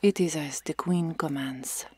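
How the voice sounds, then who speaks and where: A woman playing a stoic, stern Amazon warrior in an ASMR roleplay, hushed and curt, often whispering. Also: sits low, a little slow, slightly rough, dry recording. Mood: terse, exasperated. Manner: curt, formal, restrained.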